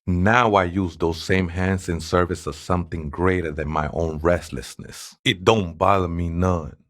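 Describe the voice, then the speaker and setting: An actor playing a serene, devout ex-gang man with a Mexican accent who talks in laid-back, reverent street slang for a video game. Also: low-pitched. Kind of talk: video game character dialogue.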